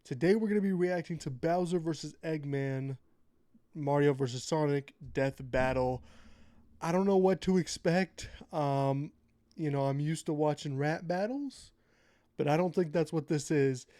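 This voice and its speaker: A man hosting a casual reaction video, sounding a little nasal from a cold, chatting in a laid-back way and reading out facts haltingly. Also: a little high, dark tone, no echo, studio quality.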